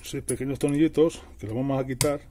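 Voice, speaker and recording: A middle-aged man narrates a practical tutorial in a casual, explanatory way. His voice sits at an average pitch and is very dark and mellow. He often restates a phrase as he works through the steps. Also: fairly even intonation, fast talker, a little hiss or hum. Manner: explanatory, repeats and rephrases while working. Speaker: DIY tutorial host.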